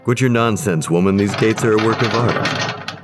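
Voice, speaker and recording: An actor playing a brooding, bitter husband with a gruff, curt, belittling manner in an audio horror drama. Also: male voice, lowish voice, normal speed, music in the background, lightly acted. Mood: gruff, dismissive. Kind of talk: audio horror anthology acting.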